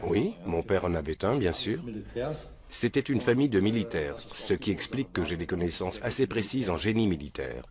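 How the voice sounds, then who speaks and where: A man's voice: deep voice, lively intonation, moderate pace, crowd noise. A man answering interview questions in a matter-of-fact, assured way.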